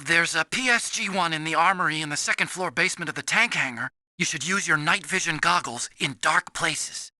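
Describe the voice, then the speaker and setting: Casting: timid, kindly young scientist in a video game. Sharp, lively, dry close recording, earnest delivery. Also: male voice, a little high, fairly quick, hardly any pauses, no room sound. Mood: hesitant, helpful. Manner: earnest, anxious, explanatory. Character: earnest, timid young male scientist, soft-hearted and nerdy.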